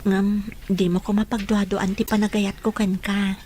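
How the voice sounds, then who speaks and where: A woman's voice: mid-range voice, flat delivery, normal speed, dark tone, slight background noise, lightly acted. A woman acting a young woman in love in a radio drama, tender and pleading. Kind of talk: 1970s-style broadcast radio acting.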